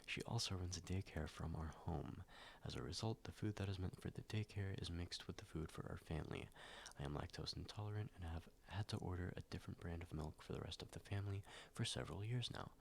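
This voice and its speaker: Young male ASMR reader, very low and gravelly, congested and a bit nasal, soft and hesitant with frequent whispers. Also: slight background noise. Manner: soft, slow, many ums and likes, drifting into whispers. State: stuffed up, slightly nasal after a cold. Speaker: ASMR YouTuber. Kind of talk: soft-spoken ASMR reading.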